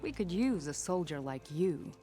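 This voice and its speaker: Low-voiced, confident female squad leader. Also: big pitch swings, moderate pace, mellow, soft background music. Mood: assured. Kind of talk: video game cutscene acting.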